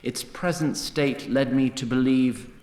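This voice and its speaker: A man giving scripted narration for a fantasy audio drama, in a wry and deliberate manner. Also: medium pitch, darkish tone, reverberant.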